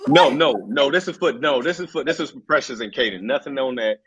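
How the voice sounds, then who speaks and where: A man's voice: medium pitch, fairly quick, lo-fi sound, a crowd in the background. A man, a podcast panel guest speaking earnestly and repeating phrases for emphasis. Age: 30s. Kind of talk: casual panel discussion.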